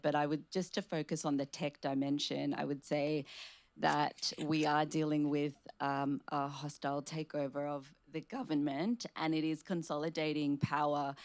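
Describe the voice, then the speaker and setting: A woman with an Australian accent speaking as a panelist, casual and halting with frequent ums. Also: deep voice, dry recording. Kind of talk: panel talk.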